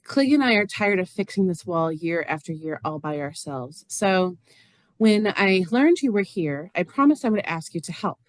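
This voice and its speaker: A woman's voice: on the low side, lively intonation, moderate pace, no echo, close to an everyday voice. A woman playing a plain-spoken, lonely, literal-minded farm neighbor in a stage reading, earnest and matter-of-fact. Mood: frustrated. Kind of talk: stage reader's theater acting.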